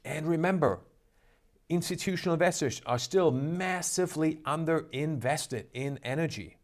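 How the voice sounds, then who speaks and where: A man's voice: a little high, normal speed. A man hosting a stock trading show, talking in an upbeat, emphatic, salesy way. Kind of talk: energetic market commentary.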